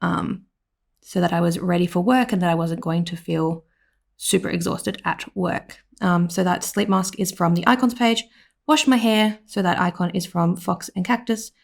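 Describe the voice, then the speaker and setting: A woman with an Australian accent, slightly hoarse, giving a rambling voiceover at home full of "like" and "um". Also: on the low side, normal speed. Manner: rambling, with many "like" and "um" fillers. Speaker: planner vlogger. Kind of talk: casual voiceover narration.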